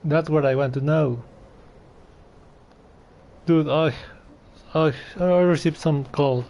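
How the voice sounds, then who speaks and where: A man's voice: fairly high pitch, takes it slowly, a little mellow. A man giving casual, jokey, informal commentary while playing a video game. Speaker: gaming streamer, player.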